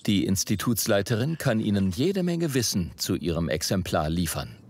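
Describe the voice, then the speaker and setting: A man's voice: lowish voice, very animated, normal speed, a little hiss or hum. A man reading scripted narration as the narrator of a documentary report.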